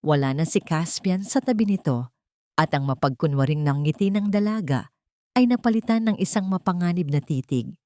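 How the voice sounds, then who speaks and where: A woman's voice: lowish voice, animated, normal speed, a little mellow, dry recording. A woman reading a dramatic scripted story as its narrator.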